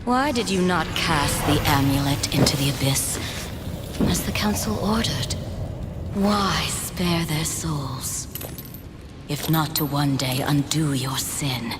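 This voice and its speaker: An ancient, scheming matriarch with a very low voice. She speaks slowly, regal and persuasive. Loud game music plays under her lines. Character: ancient scheming mother of a race, female. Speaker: game character.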